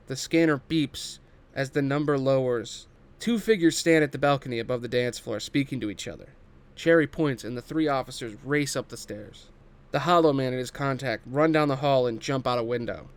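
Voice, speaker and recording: A man reads scripted stage directions as the narrator of a table read. His voice sits at a middle pitch, and he describes scenes and action in the present tense.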